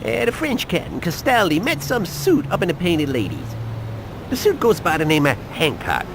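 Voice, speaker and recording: A man's voice: sits high, normal speed, noisy background, exaggerated voice. Swooping, jive-talking male informant. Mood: confiding warning. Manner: slangy, excitable, repeats verbal tags. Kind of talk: video game voice acting.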